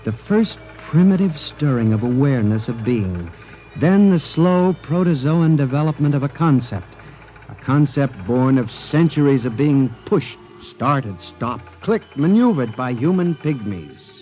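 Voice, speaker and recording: An actor playing an obsessed, fearful office clerk, narrating in an earnest, theatrical 1950s radio drama manner. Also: male voice, medium pitch, expressive, measured pace, mellow, close to an everyday voice. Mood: awed, ominous. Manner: intense, earnest, formal, theatrical. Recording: 1950s radio broadcast with music behind.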